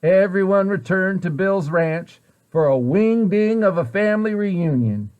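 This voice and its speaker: A man reading a children's picture book aloud with expressive, deliberate storyteller phrasing. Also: sits high, clear, resonant tone, muffled recording.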